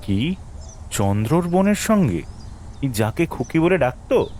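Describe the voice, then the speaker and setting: An actor playing a dismissive young student in an audio drama, offhand and grumbling. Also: male voice, on the high side, sing-song, measured pace, mellow, slight background noise. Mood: impatient.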